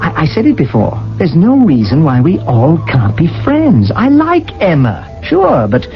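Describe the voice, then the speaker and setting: An actor playing a smug, condescending rationalist from a regimented future in a radio drama, speaking in a glib, theatrical manner. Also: male voice, fairly high pitch, huge pitch swings, measured pace, full character voice. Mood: exasperated, smug. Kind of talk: 1980 radio drama acting. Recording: dull vintage radio, faint music.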